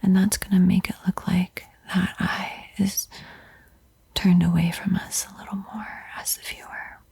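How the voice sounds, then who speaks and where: A woman whispers painting instructions in a soothing, encouraging ASMR style, with a breathy, airy voice. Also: lowish voice, little pitch movement, a little slow, a little mellow. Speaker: art tutorial host.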